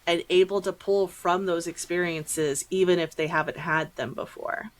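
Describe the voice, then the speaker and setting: A woman's voice: on the low side, steady tone, normal speed, rough recording. A middle-aged American woman chatting casually as a podcast host, with slangy asides and acted-out reactions.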